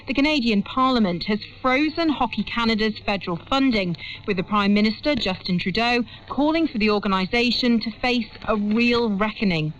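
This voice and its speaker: A woman reporter reading a scripted news report, heard over shortwave radio. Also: on the high side. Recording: dull shortwave radio broadcast.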